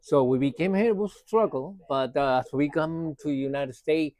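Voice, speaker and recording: A middle-aged man from Uruguay with a Spanish accent, answering interview questions in loose, casual English, often pausing with "uh". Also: on the high side, animated, a little mellow. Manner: plain, loose English grammar, frequent uh. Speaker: restaurant co-owner. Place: restaurant.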